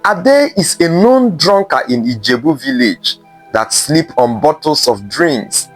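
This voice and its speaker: A man narrating a scripted folktale in a measured way, with dramatic pauses between short phrases. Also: a little high, sing-song, faint music behind. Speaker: storyteller.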